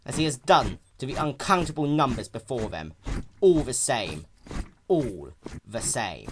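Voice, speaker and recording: A man reading fantasy fiction aloud as a dramatic, intense narration. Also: mid-range voice. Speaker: amateur story reader. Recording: clear steady ambient sound-effect noise behind. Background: continuous ambient sound effect.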